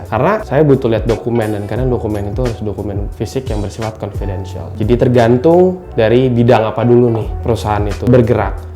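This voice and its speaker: A man in his twenties talks through his opinion in a casual interview, measured and explanatory. His voice is low and mellow. Faint music plays behind him. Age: 20s. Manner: measured, explanatory, with English loanwords.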